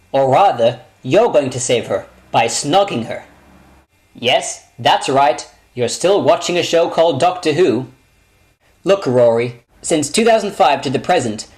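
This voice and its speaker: A glib and animated time-travelling hero, played by a man, talks in long mock-serious explanations. He is zany and self-mocking, with lively pitch, as in an amateur sci-fi spoof. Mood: glib, explaining. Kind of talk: amateur scripted sci-fi parody.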